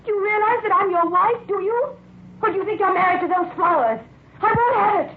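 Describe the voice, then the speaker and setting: Very high-pitched, jealous wife. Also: female voice, fairly quick. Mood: indignant. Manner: sharp, demanding, overwrought. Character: jealous, bitter, domineering wife. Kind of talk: 1940s radio melodrama acting. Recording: tinny old radio recording, faint noise.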